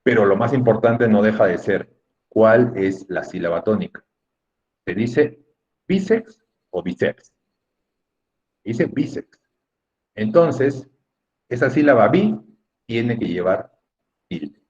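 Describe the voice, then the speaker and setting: A man's voice: fairly low pitch, lively intonation, slow, long pauses, darkish tone, muffled recording. A man teaching a class in an emphatic, didactic way, repeating key words and checking in with short tag questions. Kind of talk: Spanish lesson on accent rules for students.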